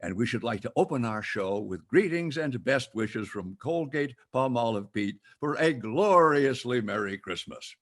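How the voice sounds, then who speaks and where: A man's voice: medium pitch, animated, moderate pace, few pauses. A man playing a genial, formal announcer of an old-time radio comedy, in scripted 1940s style. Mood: genial, festive. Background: prominent music.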